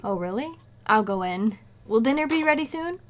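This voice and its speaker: A woman playing a brisk, wry, exasperated housewife in an old-time radio comedy. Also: a little high, lively intonation, close to an everyday voice. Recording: clearly noisy recording with clicks.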